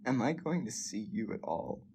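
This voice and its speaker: A woman playing a bitter, neglected husband in an audio drama, halting, sarcastic and aggrieved. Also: extremely low pitch, lively intonation, mellow, a little hiss or hum. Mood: hurt, accusing. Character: resentful, neglected, bitter husband in a failing marriage.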